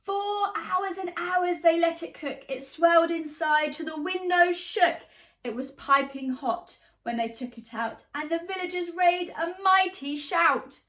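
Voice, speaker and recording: A woman with an expressive, chatty and encouraging way of talking, leading and reading aloud for young children as their teacher. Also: extremely high pitch, measured pace. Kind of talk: animated storybook read-aloud and guided exercise.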